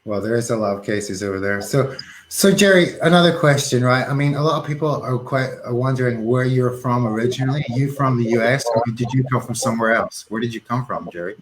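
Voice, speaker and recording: A man hosting a casual video call interview, easygoing and quick with short affirmations. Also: medium pitch, poor audio. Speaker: interview host.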